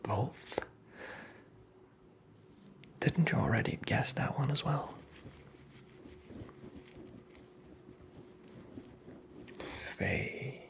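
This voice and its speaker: A performer playing a creepy, teasing, very old male magical imp in a whispered ASMR roleplay, with breathy, creaky, theatrical delivery. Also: mid-range voice. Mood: gloating, sly. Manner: slow, theatrical, taunting, toying with the listener.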